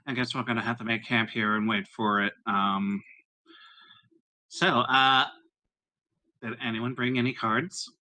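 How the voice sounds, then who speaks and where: A man with a very bright, forward voice at a middle pitch. He improvises lines as a tabletop roleplay player, hesitant and halting as he goes. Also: fairly even intonation, low-quality recording.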